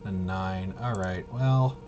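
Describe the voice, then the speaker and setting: A man giving a casual, unscripted walkthrough as a host, rambling and halting, with muttered asides to himself. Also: sits low, muffled recording, background noise. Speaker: host of a tabletop RPG review video.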